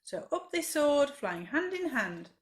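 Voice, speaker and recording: A woman's voice: high voice, sing-song, a little slow. A woman reading a rhyming children's story expressively, with dramatic emphasis, as a library storyteller.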